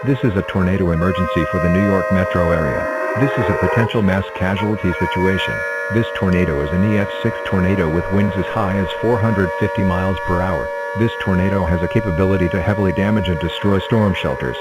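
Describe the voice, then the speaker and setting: A man reading scripted emergency weather alerts as a broadcast announcer, in a formal, impersonal and measured manner. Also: sits low, loud music behind the voice, poor audio.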